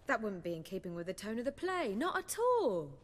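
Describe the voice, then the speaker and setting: An actress playing a spirited, theatrical young bard and playwright in a video game, brisk, articulate and expressive. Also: female voice, on the high side, long reverb tail. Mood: defensive, brisk. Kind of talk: video game dialogue acting.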